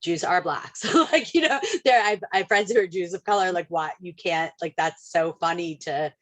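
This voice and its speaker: Woman, very low pitch, warm chatty storyteller on a webinar video call, dry room sound. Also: moderate pace, no echo. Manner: chatty, storytelling, laughs easily. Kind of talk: webinar conversation.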